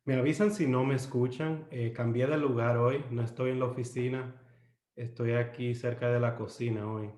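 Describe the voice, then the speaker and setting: A man's voice: medium pitch, steady tone, moderate pace, darkish tone. A Dominican man raised in the United States, with a Dominican Spanish accent shaped by English, leads a live-streamed Bible study from his home. He speaks unhurriedly and hesitantly, with many "eh" fillers and restarts, and ends points with a questioning "¿no?". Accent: Dominican Spanish with US English influence. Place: at home near the kitchen. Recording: roomy home kitchen echo.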